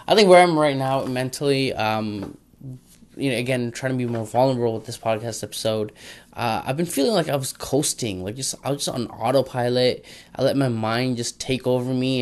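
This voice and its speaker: A young man talking through his plans in a casual audio diary, rambling and thinking aloud. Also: mid-range voice. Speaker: podcaster.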